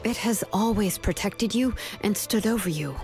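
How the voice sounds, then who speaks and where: A woman voicing a laid-back, caring adult woman smith who speaks in a gentle, reassuring way. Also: mid-range voice, normal speed, faint music behind, lightly acted. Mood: comforting. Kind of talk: video game voice acting.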